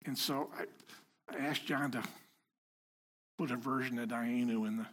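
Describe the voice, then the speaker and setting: Husky man preaching conversationally. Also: medium pitch, fairly even intonation, moderate pace. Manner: conversational, self-deprecating, joking with the congregation. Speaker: lay preacher. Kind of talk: informal sermon. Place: house of worship before a congregation.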